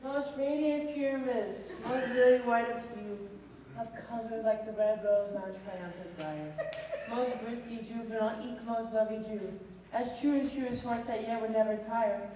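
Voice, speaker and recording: A woman's voice: fairly high pitch, moderate pace, warm tone, natural voice. A female student actor playing a naive amateur player who recites the part of a maiden in a Shakespeare stage play. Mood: stiff reciting. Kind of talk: theatrical Shakespeare stage acting. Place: large indoor school theatre stage. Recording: echoey hall from far off, faint noise.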